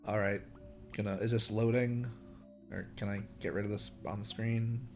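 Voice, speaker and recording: A man's voice: lowish voice, steady tone, moderate pace. A man giving casual, joking, sweary commentary as he plays a video game. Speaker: let's player. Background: faint game music.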